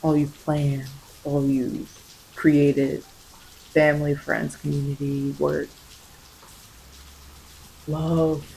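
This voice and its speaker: A tarot reader giving an improvised ASMR reading, rambling and musing, often halting and circling back to repeat phrases. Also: medium pitch, little pitch movement, gravelly, dark tone, a little hiss or hum, poor audio.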